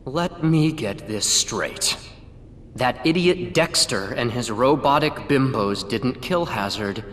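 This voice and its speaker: An actor voicing a menacing, exasperated corporate villain boss in a video game, commanding and scornful. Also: male voice, expressive, slow, a bit bright. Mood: seething. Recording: long reverb, faint rumbling noise.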